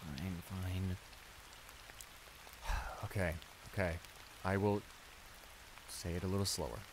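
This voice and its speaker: A man's voice: low voice, slow pace. A man playing a shy, flustered school bully in an audio roleplay, stammering and hesitant as he apologizes and gets embarrassed. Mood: embarrassed, nervous. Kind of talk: intimate improvised audio roleplay acting. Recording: rain noise behind the voice. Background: steady rain.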